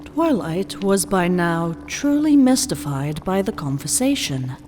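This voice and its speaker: A woman's voice: on the low side, big pitch swings, unhurried, darkish tone, faint music behind. A woman narrating a story from a script.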